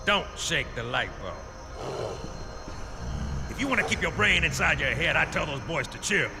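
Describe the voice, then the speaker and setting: A brash, wisecracking marine sergeant. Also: male voice, fairly high pitch, animated, moderate pace, over music. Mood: wry. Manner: gruff, wisecracking. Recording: game soundtrack with loud score.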